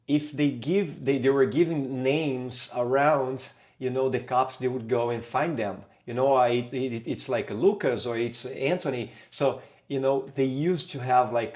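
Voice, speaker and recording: A man with a Brazilian Portuguese accent talks through his story as a podcast guest. His voice sits in a middle range, and he speaks haltingly, with many fillers and restarts. He is a capoeira teacher. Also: mid-range voice, a little mellow. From Brazil, São Paulo. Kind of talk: casual interview talk.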